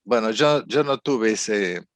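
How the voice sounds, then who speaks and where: A man's voice: on the low side, lively intonation, slow, no echo. A middle-aged man, speaking as an interview guest in a thoughtful, rambling way and often ending phrases with a questioning tag. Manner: thoughtful, rambling, frequent "¿no?" tags. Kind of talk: reflective conversational interview.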